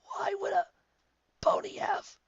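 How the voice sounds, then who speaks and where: A stunned female pony in a story, voiced by a man. The voice leaps very high, wheezy and croaking with strain, on a slightly lo-fi dry recording. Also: animated, slow pace, no echo, in a whisper, heavily acted. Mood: aghast.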